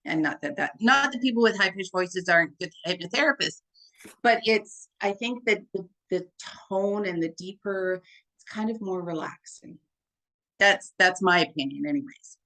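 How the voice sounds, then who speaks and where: A woman with a deep, full voice, talking as a hypnotherapist guest in a casual interview, chatty and full of "like" and "right?". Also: moderate pace, sharp tone, rough recording. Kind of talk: casual conversation.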